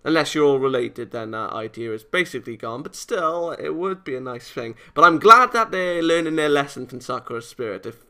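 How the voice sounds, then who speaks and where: Male let's player, bright forceful tone with fry, excitable and a bit tongue-tied. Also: fairly high pitch, a little fast, few pauses. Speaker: let's play host reading a visual novel. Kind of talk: off-the-cuff gameplay commentary mixed with read-aloud.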